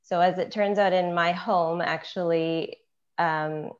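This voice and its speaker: A woman hosting a panel over a video call, speaking in a thoughtful, measured way through an earbud headset. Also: fairly low pitch, fairly even intonation. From Kenya. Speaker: panel host. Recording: dull lo-fi call audio.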